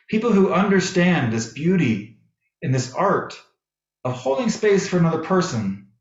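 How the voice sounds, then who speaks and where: American minister preaching, lively swooping pitch. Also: male voice. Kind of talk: sermon with personal storytelling. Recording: roomy, echoey, slightly dull sound.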